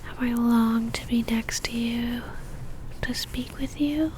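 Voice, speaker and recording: A woman plays a lovestruck female vampire stalker in an ASMR roleplay, whispering softly and breathily right up at the mic. Her voice is high and barely rises or falls, moving slowly and intimately as she coaxes with possessive tenderness. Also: fairly even intonation, slight background noise. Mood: tender, lovestruck. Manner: slow, intimate, coaxing. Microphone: close ASMR microphone.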